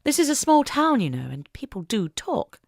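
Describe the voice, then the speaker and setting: A woman's voice: high voice, sing-song, normal speed, creaky, dry recording, clean studio sound, pitched above the performer's own voice, full character voice. A woman playing a steely, sly young female investigator in an audiobook, with a stern, probing yet polite manner. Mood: coolly probing. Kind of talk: audiobook character acting.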